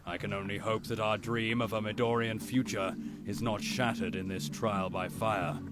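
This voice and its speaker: A man's voice: on the low side, fairly even intonation, normal speed, over loud music, natural voice. A man playing a grave alien faction leader in a space video game, speaking in a formal, solemn manner. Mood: grave, bleak.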